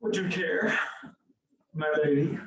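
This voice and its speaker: A man at a table read voicing Garrett, a joking male high school senior and class clown who hams it up. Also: slow, gravelly, exaggerated voice. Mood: goofy. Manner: loud, goofy, hamming it up. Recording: roomy, from a distance.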